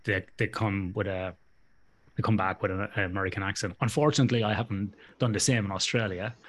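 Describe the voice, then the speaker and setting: A man's voice: fairly low pitch, fairly even intonation, quick pace, slight background noise. A man with an Irish accent hosting a podcast in a casual, joking, teasing interview style. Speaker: podcast host.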